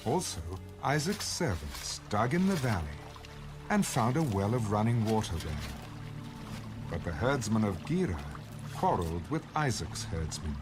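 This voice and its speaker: Male scripture narrator: lowish, very expressive, echoey, over a music bed. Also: measured pace. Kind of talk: dramatic audiobook narration.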